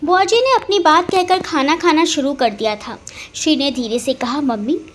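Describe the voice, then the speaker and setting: A woman's voice: high-pitched, normal speed. A woman narrating a scripted family romance story as a dramatic storyteller.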